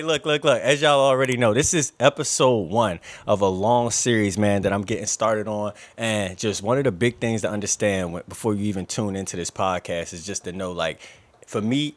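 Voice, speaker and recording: An American man hosting a casual podcast, talking in a slangy, hype-man way with lots of filler tags. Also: fairly low pitch. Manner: slangy, hype-man exclamations, frequent "man" and "you know". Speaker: podcast host. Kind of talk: casual hyped podcast chat.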